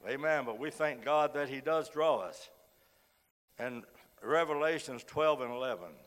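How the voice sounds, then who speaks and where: A man with a cold, hoarse as he preaches a sermon, reads scripture and says frequent amens. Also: medium pitch, unhurried, a bit bright. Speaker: guest preacher. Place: church sanctuary. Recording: long reverb of a church hall.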